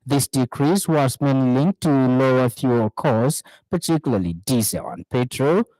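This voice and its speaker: A man reading a scripted radio news bulletin in a steady, formal manner from a studio. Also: medium pitch, unhurried, no room sound, low-quality recording. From Zambia. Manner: steady formal newsreading, lists read item by item. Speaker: news anchor.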